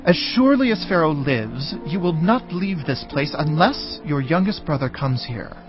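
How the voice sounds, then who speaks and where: An actor playing a harsh, accusing governor of ancient Egypt in a dramatized audio Bible, speaking deliberately. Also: male voice, on the high side, expressive, natural voice. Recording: dull audio with music.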